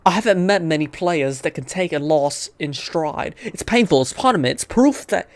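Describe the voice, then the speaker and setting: A man's voice: on the high side, lively intonation, normal speed. A man hosting a gaming video, chatting casually and reading game text aloud, often stumbling over the words as he goes.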